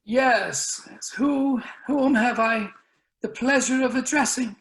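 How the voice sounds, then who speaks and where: Roomy sound. Ancient, dignified president for sci-fi drama, female performer: fearful, formal, markedly slow. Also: on the high side. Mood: nervous dignity. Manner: halting, formal, grave. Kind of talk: dramatic reading of a science fiction story. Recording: roomy, echoing video call.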